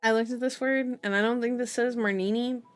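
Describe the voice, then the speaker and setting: A woman's voice: fairly high pitch, fairly even intonation, fairly quick, faint music behind. A woman hosting an ASMR reading of a novel, breaking into playful, informal asides. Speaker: ASMR channel host. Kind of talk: ASMR novel reading with casual side comments.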